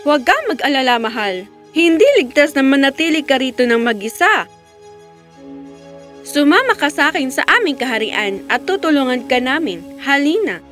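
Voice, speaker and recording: A gracious, commanding queen, with a high voice. She speaks in a regal, deliberate way in fairy tale cartoon style, music playing softly behind. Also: sing-song. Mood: kindly.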